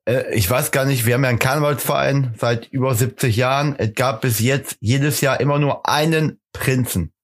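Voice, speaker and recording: A man's voice: medium pitch, moderate pace, hardly any pauses. A man co-hosting a podcast in casual banter, dry and teasing, correcting his partner. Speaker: podcast co-host.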